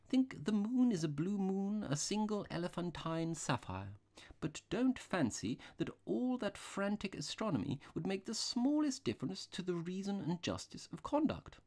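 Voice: mid-range voice, big pitch swings, moderate pace, darkish tone, voice pushed up, lightly acted. A reader voicing a mild, vague little rustic priest in a storybook, unworldly, hesitant and apologetic. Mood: earnest, dreamy.

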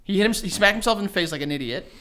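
A man's voice: sits high, animated, very fast, background noise. Man, 30s, podcast co-host, casual rambling chat, desk studio mic. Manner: rambling, swearing, imitates his own kids and himself. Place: small home studio. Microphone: studio mic on a desk stand, headphones.

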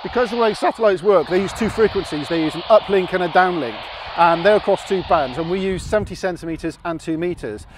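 A man in his forties with a fairly high voice explains things casually, as a hobby vlogger would. He is recorded outside on an open roadside, with clear noise from passing traffic around him. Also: a little fast, hardly any pauses. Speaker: amateur radio vlogger. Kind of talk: casual explanatory chat to camera. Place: outdoors on an open hilltop beside a road. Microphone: camera mic at about arm's length or more.

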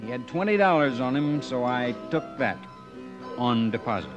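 An actor playing a proud, stubborn cowboy with a folksy delivery in an old radio western. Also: male voice, mid-range voice, lively intonation, normal speed, muffled recording, over music, close to an everyday voice. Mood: easy, candid. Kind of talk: 1950s radio western acting. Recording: vintage radio drama recording.

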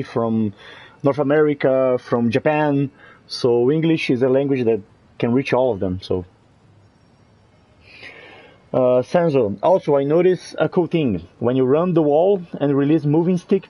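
A man's voice: mid-range voice, dry recording, low-quality recording. A man whose first language is Portuguese, speaking English with a Portuguese accent, casually chatting with viewers while playing a game. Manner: relaxed, chatty, answering viewers. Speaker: gameplay streamer.

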